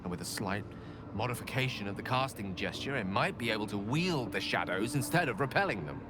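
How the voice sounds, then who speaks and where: Man as scholarly wizard, eloquent, low voice, very lively intonation, noisy game audio. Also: fairly quick, lightly acted. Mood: musing. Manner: eloquent, scholarly, musing aloud. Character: learned, wordy male wizard, adult. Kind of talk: video game voice acting. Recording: game audio with clear background noise.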